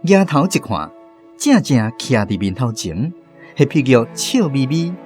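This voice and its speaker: A man with a Taiwanese accent narrating a scripted radio drama story in an expressive, literary way. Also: medium pitch, a little slow. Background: faint music.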